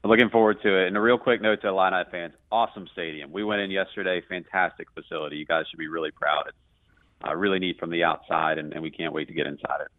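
A man giving conversational sports analysis as a radio play-by-play guest, in a halting way with self-corrections. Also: deep voice, steady tone, no echo, narrow, tinny audio.

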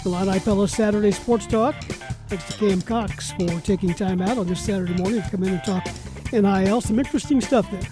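A man's voice: high-pitched, fairly quick, music in the background. An American man hosting a live sports talk show on radio in a conversational style. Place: radio studio.

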